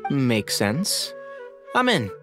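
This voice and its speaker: Wry young scholar with a very animated voice. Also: male voice, medium pitch, drawn-out words, music in the background, full character voice. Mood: matter-of-fact. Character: bright, sensible, wry young male scholar. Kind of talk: video game voice acting.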